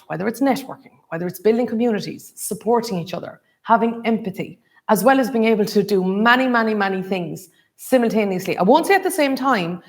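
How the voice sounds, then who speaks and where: A woman moderating a panel, speaking emphatically with rhetorical questions. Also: medium pitch. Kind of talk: scripted panel introduction.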